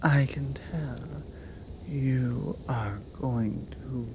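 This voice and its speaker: A woman with a British accent playing a seductive, commanding monster woman in an intimate ASMR roleplay, teasing and often dropping into a breathy whisper. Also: a bass voice, very slow, noisy background, exaggerated voice. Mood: seductive, pleased. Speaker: audio roleplay performer.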